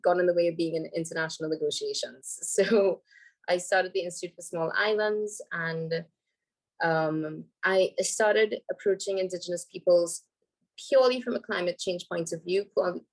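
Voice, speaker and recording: Hoarse woman with a cold. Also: lowish voice, steady tone, normal speed, low-quality recording. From Trinidad and Tobago. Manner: thoughtful, many ums and restarts. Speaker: panel speaker, environmental lawyer. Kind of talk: casual panel talk. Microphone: video call.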